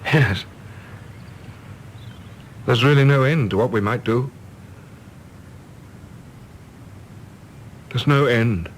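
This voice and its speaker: A man's voice: medium pitch, measured pace, slight background noise, close to an everyday voice. An actor playing an earnest, wistful middle-aged English man with idealistic hopes, in a radio play. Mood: eager, hopeful.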